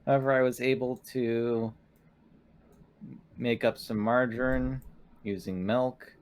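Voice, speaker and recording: A man voicing a polite, dutiful female android who serves a ship's crew in a tabletop game, speaking in a formal, measured, literal way. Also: medium pitch, steady tone, slight background noise, natural voice. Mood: courteous, matter-of-fact.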